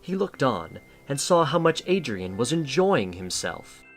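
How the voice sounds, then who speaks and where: A man's voice: medium pitch, sing-song, a little slow, faint music behind. A man reading scripted story narration as the narrator of an audio drama.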